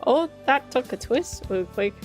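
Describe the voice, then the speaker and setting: A woman's voice: high voice, lively intonation, a little slow. A woman streamer reading a visual novel aloud, mixing narration with casual reactions. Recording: game music faintly behind the voice.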